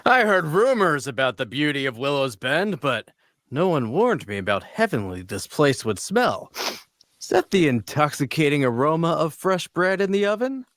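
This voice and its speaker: Man playing a charming, animated fantasy adventurer leader. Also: fairly high pitch, normal speed, no room sound, clean studio sound. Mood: charming, upbeat. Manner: flattering, theatrically polite, persuasive. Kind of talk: audio drama table read.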